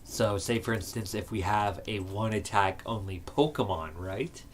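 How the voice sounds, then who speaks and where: A man co-hosting a stream, casually explaining card game rules in halting bursts with fillers. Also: fairly low pitch, a little hiss or hum. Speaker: stream co-host. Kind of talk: casual chat while playing a card game. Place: game store.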